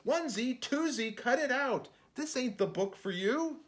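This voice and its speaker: A man's voice: very high voice, animated, a little slow, voice pushed up. A man playing an exasperated storybook author scolding his unruly creatures, indignant and blustering.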